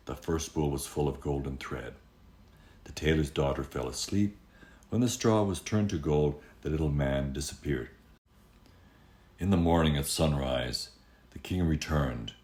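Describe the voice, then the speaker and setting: A man telling a fairy tale aloud as an expressive storyteller. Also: rumbling low.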